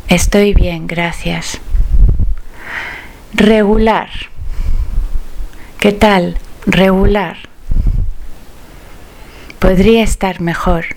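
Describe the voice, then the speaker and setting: A woman teaching phrases, saying each one twice slowly and carefully as a language drill. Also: mid-range voice, steady tone, a little mellow, slight background noise, rough recording. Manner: slow, careful, clearly enunciated repetition.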